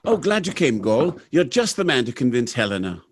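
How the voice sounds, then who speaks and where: A man's voice: medium pitch, very animated, brisk, noisy background, close to an everyday voice. A man playing a confident, idealistic factory boss and husband in a radio drama, with a breezy, formal, self-assured manner. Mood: breezy, hearty.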